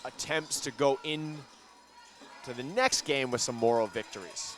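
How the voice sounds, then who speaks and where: A man calling a school basketball game live, brisk play-by-play that gets loud on big shots, with a ball bouncing in the gym behind him. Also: mid-range voice. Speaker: play-by-play commentator.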